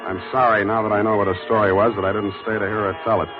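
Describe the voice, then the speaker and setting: An actor playing a wry, cynical American private detective in a brusque 1940s radio drama style. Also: male voice, fairly low pitch, level tone, fast talker, over music, lightly acted. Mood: weary, dry. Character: wry, hard-boiled male private detective. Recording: dull, lo-fi 1940s radio recording.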